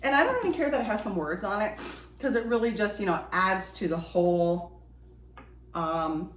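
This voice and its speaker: A woman chatting enthusiastically to viewers while doing a quick craft project, a bit sniffly from a cold. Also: medium pitch, expressive. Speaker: DIY vlogger. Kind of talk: casual how-to chat while crafting. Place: home decorating space, indoors. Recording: roomy echo, distant from mic, faint noise.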